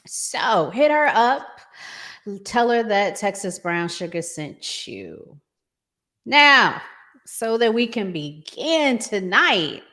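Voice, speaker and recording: A woman's voice: mid-range voice, very animated, takes it slowly, bright, forceful tone. An American woman hosting a casual talk show in a conversational way. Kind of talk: casual relationship talk show with sponsor read.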